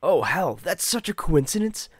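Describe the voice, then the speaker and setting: Man playing an animated, excitable gamer guy. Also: a little high, moderate pace, husky, dry recording, voice pushed up. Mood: excited. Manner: animated, incredulous. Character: excitable, foul-mouthed, gullible young gamer guy. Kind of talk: comic character dialogue.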